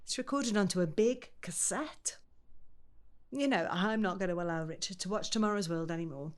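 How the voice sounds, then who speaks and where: A woman's voice: fairly low pitch, moderate pace, close to an everyday voice. An actress playing a patient middle-aged daughter, coaxing and explaining simply to her confused mother in an audio drama. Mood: chatty.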